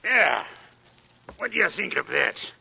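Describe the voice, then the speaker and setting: Slow, creaky workman. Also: a little high, big pitch swings, sharp tone, full character voice. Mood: proud. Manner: hesitant, halting, muttering. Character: uneasy working-class monument mason, plain-spoken and superstitious. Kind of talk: 1940s radio drama acting. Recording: old radio broadcast, music behind.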